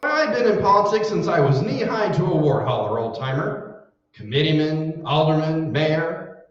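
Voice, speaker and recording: A man's voice: on the high side, expressive, normal speed, natural voice. A man playing a boastful, blustering, folksy husband in a 1940s-style radio comedy. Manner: blustery, chatty, theatrical. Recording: very echoey stage, distant dull mic.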